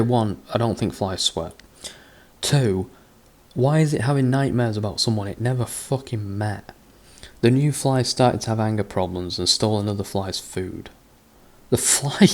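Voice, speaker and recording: A man's voice: mid-range voice, a little mellow. A man doing a sarcastic reading of a book, breaking off into sweary, exasperated mockery. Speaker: comedic book reader. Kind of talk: sarcastic dramatic reading with commentary.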